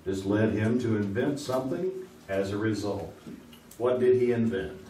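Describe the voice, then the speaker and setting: A man's voice: fairly low pitch, lively intonation, moderate pace, natural voice. Man playing a wry quiz host, distant, echoey. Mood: wry, amused. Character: genial, wry male 1950s quiz show host. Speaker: game show host. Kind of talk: 1950s radio game show acting. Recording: echoey theater, distant, loud background noise.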